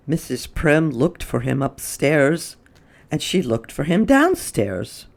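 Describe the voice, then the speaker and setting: A woman reading a children's story aloud as a storytime host, with dramatic emphasis and drawn-out words. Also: deep voice, sing-song, vocal fry, darkish tone. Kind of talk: expressive read-aloud storytelling.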